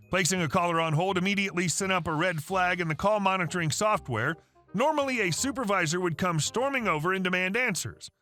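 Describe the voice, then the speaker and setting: A man reading a first-person story aloud as scripted narration, with a steady read-aloud delivery. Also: high voice. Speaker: narrator of online stories. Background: faint background music.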